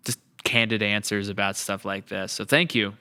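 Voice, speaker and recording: A man's voice: on the low side, level tone, normal speed, pushed and bright, clear, resonant tone. A man hosting a podcast in casual chat, effusive and rambling as he talks. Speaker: podcast host.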